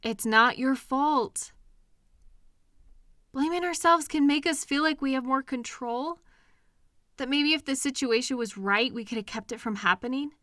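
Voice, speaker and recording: A woman playing a caring, protective partner in an intimate comfort audio roleplay, speaking gently and earnestly in a reassuring, conversational way with hesitant pauses. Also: sits high, no echo, clean studio sound. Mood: soothing. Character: caring, protective partner consoling a hurting loved one.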